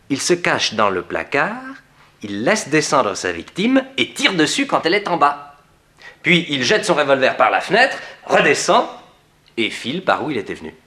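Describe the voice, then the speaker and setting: A bright-voiced male sidekick in a 1960s French detective serial. His pitch swings widely as he eagerly and emphatically builds his theories. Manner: animated, emphatic reasoning. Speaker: actor in a detective radio serial. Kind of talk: 1960s French radio drama acting.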